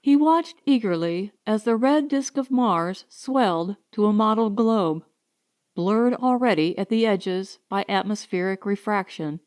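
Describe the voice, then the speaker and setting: A woman reading a science fiction short story as an audiobook narrator, in a measured, carefully enunciated storytelling style. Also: medium pitch, darkish tone.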